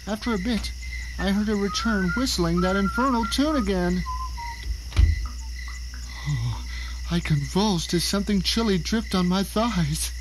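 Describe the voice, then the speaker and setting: A man's voice: sits high, lightly acted. Guy doing a tied up panicky writer telling his story, radio drama style, really theatrical, noisy chirpy background. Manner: theatrical, exclamatory. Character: bound, panicked male novelist recounting his captivity. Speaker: narrator and lead actor. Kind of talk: dramatic first-person audio drama narration.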